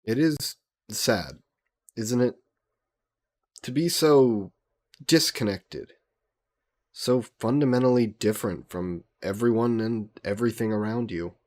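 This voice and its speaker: A man playing a gentle, wistful immortal vampire scholar in an intimate audio roleplay, courteous and reflective, musing with hesitant pauses. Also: mid-range voice, natural voice.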